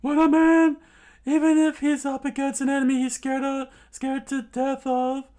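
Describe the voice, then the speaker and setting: A man voicing a scared but stubborn young male pirate who screams his lines in a strained, high yell. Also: completely flat delivery, normal speed. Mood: defiant shouting.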